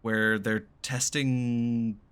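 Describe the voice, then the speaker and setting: A man's voice: medium pitch, little pitch movement, very slow, fairly bright tone, clear, resonant tone. A man giving casual, blunt, sweary commentary as he reacts to a video game. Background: game music faintly.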